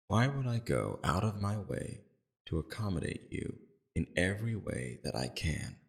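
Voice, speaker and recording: Man acting out a very deep, gravelly, courteous villain. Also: exaggerated voice. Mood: probing, measured. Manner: civil, measured, softly probing. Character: calm, courteous villain leader interrogating a captive. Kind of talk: scripted audio roleplay.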